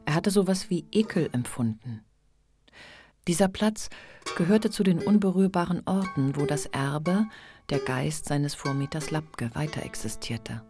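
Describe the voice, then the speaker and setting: A woman narrating a radio drama in a measured, observant literary storytelling manner. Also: low voice, lively intonation, dark tone, soft background music.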